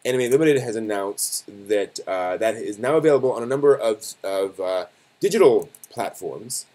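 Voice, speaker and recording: A man hosting a live stream, giving news commentary in a casual, conversational and somewhat halting way, often correcting himself. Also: on the low side, animated, warm tone.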